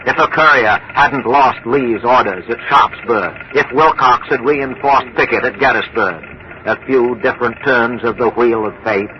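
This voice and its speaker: Casting: actor as male 1940s news commentator, deliberate, weighty oratory, medium pitch, muffled vintage sound. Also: slight background noise, lightly acted. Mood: solemn, reflective. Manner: grave, deliberate, rhetorical. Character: solemn, rhetorical male radio news analyst. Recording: muffled old radio, crowd behind.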